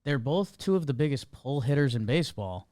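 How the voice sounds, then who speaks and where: A man's voice: mid-range voice, lively intonation, warm tone, dry recording. A man co-hosting a podcast, chatting casually about sports in a laid-back way. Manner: laid-back, quick agreeing replies. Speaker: podcast co-host.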